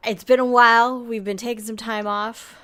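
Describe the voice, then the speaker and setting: A woman's voice: fairly high pitch, level tone, normal speed, bright, forceful tone. A woman co-hosting a podcast in casual chat, rambling and laughing easily. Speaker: podcast co-host.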